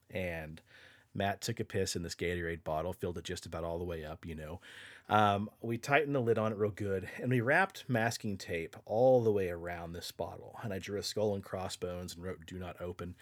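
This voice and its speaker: A man's voice: low voice, no echo. A young man co-hosting a podcast, telling a long story in a loose, casual way, laughing and swearing as he goes. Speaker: podcast co-host.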